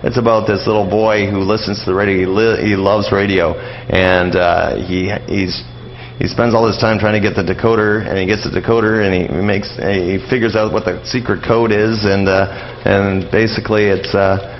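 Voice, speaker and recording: A middle-aged man giving a casual radio interview, explaining and reminiscing in a halting, rambling way that often circles back on phrases. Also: lowish voice, steady tone. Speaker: interview guest, old-time radio enthusiast. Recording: dull, lo-fi radio interview with noise.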